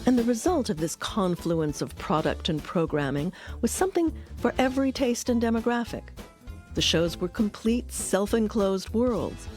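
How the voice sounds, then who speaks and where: A woman's voice: medium pitch, sing-song, normal speed. A woman reading scripted documentary narration. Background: music.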